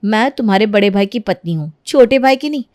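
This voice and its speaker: A woman's voice: mid-range voice, expressive, brisk, a little mellow, no room sound, studio quality, close to an everyday voice. A woman voicing a proud, defiant young bride in a cartoon family drama. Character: proud, defiant young bride who suspects her in-laws.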